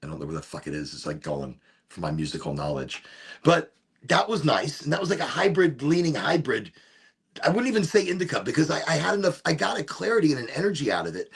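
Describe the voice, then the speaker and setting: A man with a deep, gravelly voice, rambling through a casual monologue as host of a smoke session and wandering from one topic to the next. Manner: rambling, wanders off topic, breaks into off-key singing.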